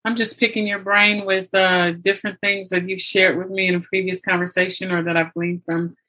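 A woman's voice: mid-range voice, little pitch movement, normal speed, pushed and bright, low-quality recording. A woman hosting a conversational interview, speaking in an earnest, encouraging way. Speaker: interview host.

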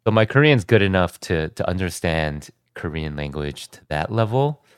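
A young American man telling his life story as a podcast guest, talking in a relaxed, rambling way with many restarts. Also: low-pitched, moderate pace, smooth, no room sound, studio quality. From United States. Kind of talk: casual conversation.

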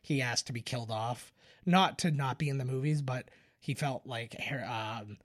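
A man's voice: mid-range voice, normal speed. A man co-hosting a podcast, explaining film trivia in a casual, informative way. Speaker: podcast co-host.

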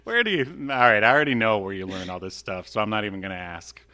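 A man's voice: fairly low pitch, fast, bright, forceful tone. Man bantering casually as podcast co-host. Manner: joking, teasing.

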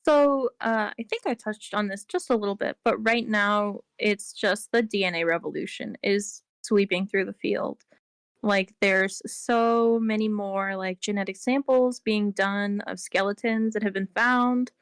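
A woman's voice: mid-range voice, unhurried, clean tone, no echo, clean studio sound. A woman being interviewed on a podcast, explaining at length in a casual way, with frequent "like" and "um". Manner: explains at length, with many fillers like "like" and "um". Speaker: interview guest.

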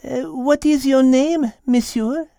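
A poised French female cat speaks slowly in a high, soft-edged voice. She is formal, wise and a little stern, measuring out each phrase as in a storybook. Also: dry recording, clean studio sound. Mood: curious, doubtful. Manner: measured, formal, slow and deliberate.

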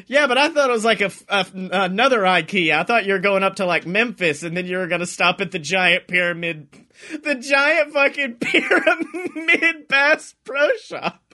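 A man hosting a comedy podcast, bantering casually in an excitable, giggly, profane way. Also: high voice, moderate pace.

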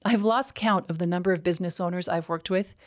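A middle-aged woman with a slight Canadian accent hosting a scripted solo podcast, enthusiastic and emphatic, slowing down on key words. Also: on the low side. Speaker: podcast host.

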